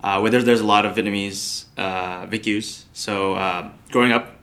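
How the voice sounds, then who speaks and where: A man's voice: lowish voice, steady tone, moderate pace, a bit bright. A young man from the United States, a podcast guest, answering thoughtfully with many fillers and restarts. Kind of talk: podcast interview.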